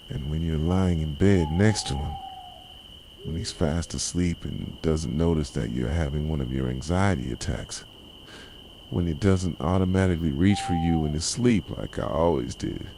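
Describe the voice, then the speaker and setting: Man acting out a possessive yandere boyfriend, very deep soft male voice, bitter, reproachful, ASMR roleplay, noisy night insects in background. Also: full character voice. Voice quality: soft, low voice. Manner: intense, deliberate, reproachful dramatic delivery. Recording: clearly noisy, night insects and owl hoots.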